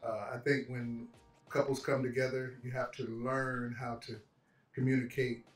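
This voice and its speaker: A man in his fifties, a father guest on a family podcast, speaking in a measured way with many pauses. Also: medium pitch, flat delivery. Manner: measured, with frequent ums and pauses. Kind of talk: casual podcast chat. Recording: roomy, distant mic, faint music.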